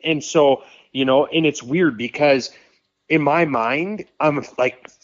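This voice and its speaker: A man in his thirties, a podcast guest, talking casually in a quick, rambling way full of "like" and "you know.". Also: on the high side, no room sound. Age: 30s. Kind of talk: casual conversation. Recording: dull, muffled sound.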